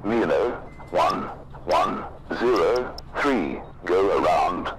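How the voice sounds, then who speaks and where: Male pilot, deep register, scripted radio readbacks, noisy transmission. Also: expressive, takes it slowly.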